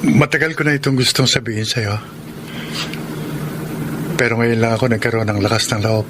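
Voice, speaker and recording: A man's voice: mid-range voice, steady tone, moderate pace, very noisy, lightly acted. A man with a Filipino accent acting as an earnest, lovestruck suitor in a radio romance drama. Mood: earnest, confessing.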